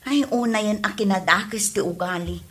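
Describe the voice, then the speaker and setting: A woman's voice: medium pitch, moderate pace, fairly bright tone, close to an everyday voice. A woman with an Ilocano accent playing a sassy, gossiping village friend in a comedy audio drama, indignant and teasing.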